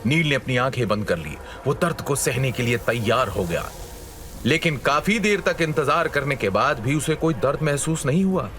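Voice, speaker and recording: A man's voice: medium pitch, animated, normal speed, fairly bright tone, a little hiss or hum. A man narrating a scripted dramatic story as a storyteller, in a suspenseful manner.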